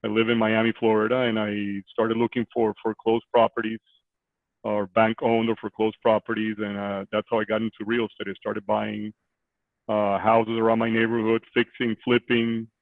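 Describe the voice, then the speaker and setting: Guy from costa rica on a video call, low flat voice, sounds tinny like a phone line, lots of uhs. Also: normal speed, vocal fry, no echo. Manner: hesitant, many fillers and restarts. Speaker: guest real estate investor. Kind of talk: conversational interview. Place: small office indoors.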